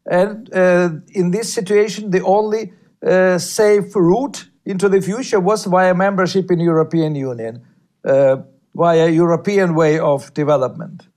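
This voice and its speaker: Interview guest: man, somewhat high-pitched, measured, halting delivery. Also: steady tone, darkish tone. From Ukraine. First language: Russian. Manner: measured, with frequent hesitations and repeated words. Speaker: guest, writer. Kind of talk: interview answers.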